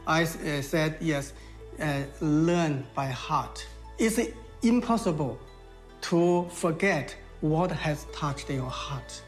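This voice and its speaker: A man in his sixties with a Mandarin Chinese accent, his voice sitting somewhat high. He talks in a halting, reflective way, pausing often and reaching for words. Quiet music runs underneath. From China. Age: 60s. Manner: halting, with many pauses and fillers. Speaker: interviewee. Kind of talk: reflective interview answers.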